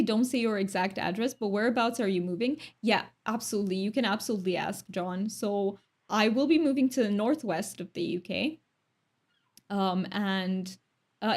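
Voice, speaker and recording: Chatty woman streamer. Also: medium pitch. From Romania. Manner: chatty, thoughtful, laughs often. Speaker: streamer reading chat. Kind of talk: casual chat.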